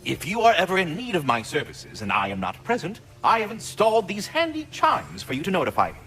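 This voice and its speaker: A man's voice: sits high, wildly swooping pitch, fairly quick, fairly bright tone, heavily acted. A man voicing a fussy, self-important magical squirrel in a video game, eager to serve and tutor, with flowery, theatrical enunciation. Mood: showy, obliging. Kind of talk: video game character acting.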